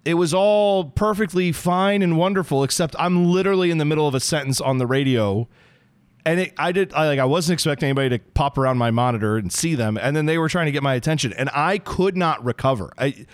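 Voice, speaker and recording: A hoarse man in his thirties, co-hosting a casual soccer podcast from his home basement, telling rambling, self-deprecating stories. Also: a little high, fast talker, no room sound. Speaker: podcast co-host. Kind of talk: casual sports chat.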